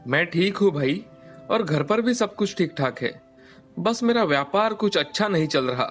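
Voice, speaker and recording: A warm-hearted, forgiving pulao seller from a cartoon story, played by a man. He talks gently and reassuringly, in a voice pitched fairly high for a man and swinging lively up and down. Faint music plays under him. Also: normal speed. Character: kind forgiving adult male pulao seller. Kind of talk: cartoon voice acting.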